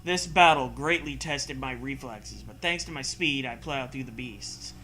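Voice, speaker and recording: A man's voice: mid-range voice, measured pace, bright, forceful tone, rough recording. A man co-hosting a comedic reading of a story, hammy and dramatic, breaking off for casual joking asides. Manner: hammy, breaks off to riff and joke. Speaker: co-host reading a story aloud.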